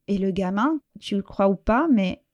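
A middle-aged French woman talks through a personal conversation, her voice mellow and soft-edged. She reflects as she goes, pausing on fillers and starting her sentences again. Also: medium pitch, lively intonation, dull audio. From France. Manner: reflective, halting with fillers and restarts. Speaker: interview guest.

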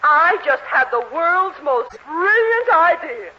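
A woman's voice: very high voice, big pitch swings, slow pace, exaggerated voice. An actress playing an imperious, indignant middle-aged mother in a 1940s radio sitcom, with a haughty, commanding delivery. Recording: muffled, faintly noisy 1940s radio recording.

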